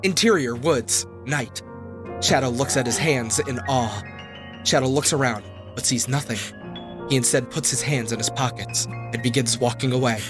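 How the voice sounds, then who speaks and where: A man's voice: medium pitch, moderate pace. A man reading dramatic narration aloud for a comedy fandub, prone to cracking up. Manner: prone to cracking up mid-line. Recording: music behind the voice.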